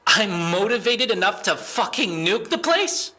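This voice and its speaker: A man's voice: high voice, sing-song, measured pace, sharp tone, heavily acted. A man playing a desperate, defensive young man under questioning in an audio drama, stammering and exasperated as he speaks. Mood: panicked, defensive.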